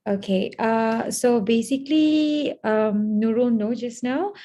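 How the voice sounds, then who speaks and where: A woman's voice: on the high side, slow, warm tone. A woman, moderating a webinar and reading out questions with polite, formal thanks. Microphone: video call.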